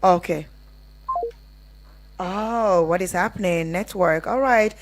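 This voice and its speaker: A Nigerian woman hosts a live phone-in on the radio. Her voice sits a little low for a woman as she talks to callers and listeners. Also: slow pace. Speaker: radio phone-in show host. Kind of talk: live call-in radio hosting.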